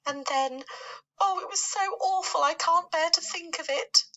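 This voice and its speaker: Woman voicing a high-pitched, theatrical lady spider. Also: moderate pace, pushed and bright, lo-fi sound, heavily acted. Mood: dramatic lament. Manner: gushing, self-correcting, theatrical. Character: female spider, dramatic, doleful, prone to lamenting.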